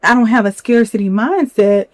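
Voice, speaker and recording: A young woman hosting a solo podcast, a little hoarse from a cold, talking candidly with lots of "you know". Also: a little high, moderate pace, a little mellow, no echo, studio quality. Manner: candid, chatty, many fillers and "you know". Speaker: podcast host. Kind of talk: reflective solo podcast talk.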